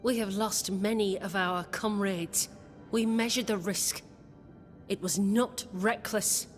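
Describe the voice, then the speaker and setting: A woman acts a devoted, proud leader of settlers in a game cutscene. Her medium-pitched voice is formal and solemn. It rings in long reverb. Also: steady tone, slow pace, faint music behind, close to an everyday voice. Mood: somber. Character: proud, devoted wife leading embattled settlers. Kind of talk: video game cutscene acting.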